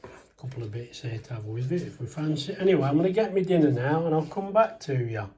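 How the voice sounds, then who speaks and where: A man's voice: fairly high pitch, animated, fast, crowd noise. An older man, chatting casually and rambling a little as he cooks in his home kitchen. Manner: chatty, rambling, self-deprecating. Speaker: home cooking vlogger. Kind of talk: casual cooking commentary.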